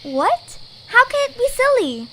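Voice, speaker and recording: A woman's voice: extremely high pitch, sing-song, measured pace, fairly bright tone, a little hiss or hum, full character voice. A woman voicing an earnest, hesitant young girl adventurer in an audio drama. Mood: unsure. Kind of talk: audio drama character acting.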